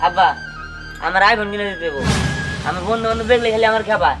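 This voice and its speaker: Man acting as poor stubborn boy, Bangladeshi accent, quick demanding delivery, high voice, cartoon drama. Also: music in the background, close to an everyday voice. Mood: demanding, insistent. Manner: pleading, demanding. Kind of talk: cartoon comedy drama dialogue.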